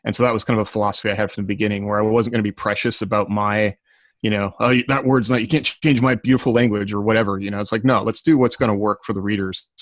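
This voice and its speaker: A man's voice: on the low side, fairly even intonation, very fast, dry recording, clean studio sound. A man chatting casually on a panel in a reflective, somewhat tentative way. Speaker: writer on a panel.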